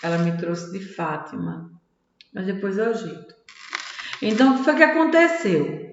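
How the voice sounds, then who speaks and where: A woman's voice: medium pitch, sing-song, normal speed, a little hiss or hum. A woman who coaches tarot and personal development, reading a self-help book aloud and commenting on it in a chatty way, often adding little "tá?" and "né?" tags. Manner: chatty, peppers sentences with "tá?" and "né?" tags. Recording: echoey room with long reverb, dull lo-fi sound.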